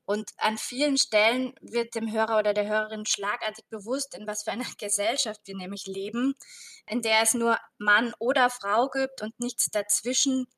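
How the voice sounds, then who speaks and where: A woman speaking as a jury member, giving earnest, considered commentary in deliberate phrases. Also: a little high, fairly even intonation, a bit bright.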